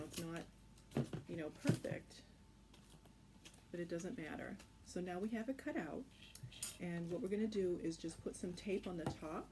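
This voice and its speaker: Low-pitched woman giving a craft lesson. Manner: informal, reassuring. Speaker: children's craft instructor. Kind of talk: casual craft tutorial. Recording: clearly noisy home recording.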